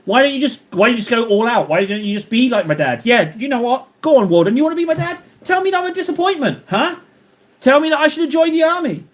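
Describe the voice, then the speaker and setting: A man's voice: extremely high pitch, sing-song, quick pace. A man live-streaming while he paints, rambling and joking as he banters with his chat.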